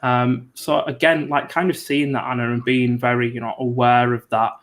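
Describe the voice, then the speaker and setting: Flat-voiced male host, rambling questions. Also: medium pitch, normal speed. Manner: rambling, many "you know" fillers. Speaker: show host. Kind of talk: interview questions.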